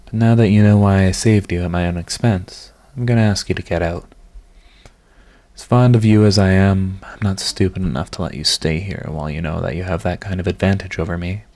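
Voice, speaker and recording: Casting: man to act lovestruck villain for romantic audio roleplay; deep register, tender under the pride, confessional, easily flustered. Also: fairly even intonation, a little fast, darkish tone. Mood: earnest, defiant.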